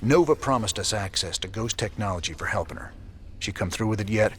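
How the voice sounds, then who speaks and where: A man's voice: fairly low pitch, expressive, brisk, a little hiss or hum, close to an everyday voice. An actor playing a weary, laconic male rebel commander with a dry, laid-back drawl.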